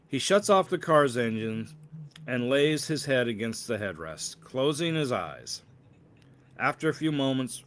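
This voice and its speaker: Guy author reading his story out loud, narration style, kinda halting, trips on words and redoes them. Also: mid-range voice. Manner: halting reading with stumbles, repeats and self-corrections. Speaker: author reading his own novel aloud. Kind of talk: read-aloud audiobook-style narration.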